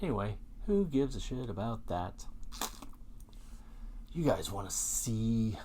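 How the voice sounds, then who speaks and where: A man with a low, slow voice walks through a product demo in a casual, colloquial way. He rambles and jokes at his own expense, with faint noise behind him. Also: very animated, dark tone. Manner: rambling, jokey, self-mocking, colloquial. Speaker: host of a vintage gear video channel.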